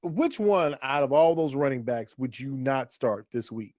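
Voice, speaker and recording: A man's voice: mid-range voice, expressive, a little slow, no room sound, lo-fi sound. A man co-hosting a fantasy football show in a casual, conversational way, posing questions. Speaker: fantasy football podcast co-host. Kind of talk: casual sports chat.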